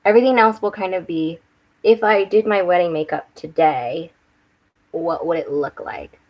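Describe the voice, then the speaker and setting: A young woman with a slightly hoarse voice, chatting casually and rambling a little on a home live stream while doing her makeup. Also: on the low side, normal speed, muffled recording. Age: young adult. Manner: rambling, chatty, with restarts and self-corrections. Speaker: book and makeup channel host. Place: home room with bookshelves. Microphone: webcam.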